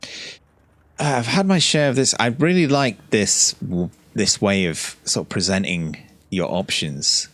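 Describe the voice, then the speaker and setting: A man giving casual gameplay commentary as a streamer, halting and musing over phrases. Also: on the low side, fairly bright tone. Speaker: gaming streamer.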